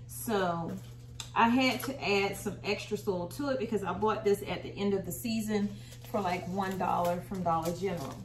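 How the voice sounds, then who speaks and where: A woman's voice: mid-range voice, normal speed. An American woman giving a casual, folksy how-to demonstration as the host of a home gardening video. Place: small indoor room at home. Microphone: camera a short distance away. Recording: roomy, distant mic, faint noise.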